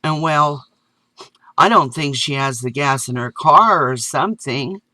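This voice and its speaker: A man's voice: a little high, a little slow, sharp tone, no echo. A man voicing an earnest little boy who keeps pleading and saying "Mom".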